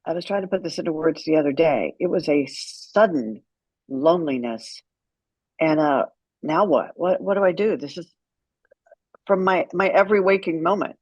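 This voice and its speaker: A woman's voice: lowish voice, animated, moderate pace, darkish tone, slightly rough, no room sound. A woman speaking as an interview guest, telling her story in a candid, outspoken and emphatic way. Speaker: guest, author and caregiver advocate.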